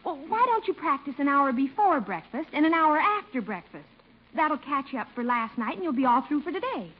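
A girlish teenage niece from a 1950s radio comedy. Her high voice is animated and prodding. The vintage recording is dull. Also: fairly quick. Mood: chiding. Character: bright teenage niece, chiding her uncle.

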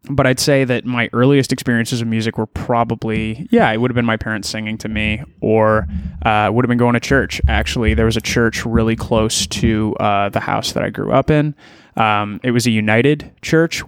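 A man's voice: lowish voice, clear, resonant tone, no room sound. A young man from Ontario, a musician guest on a podcast, rambling and hesitant with many restarts. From Ontario, Canada. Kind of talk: casual podcast interview.

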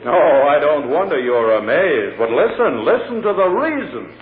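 Echoey vintage radio courtroom: an insinuating, emphatic prosecutor, raised pitch, theatrical. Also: male voice, big pitch swings. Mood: sarcastic, dramatic. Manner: oratorical, emphatic, insinuating, dramatic pauses. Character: theatrical, sarcastic prosecutor addressing a jury. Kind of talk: 1940s radio drama acting. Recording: tinny, echoey, noisy old radio recording.

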